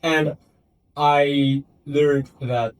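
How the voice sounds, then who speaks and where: A man's voice: on the high side, steady tone, extremely slow, smooth. A man interviewing a guest, chatting casually and asking meandering questions.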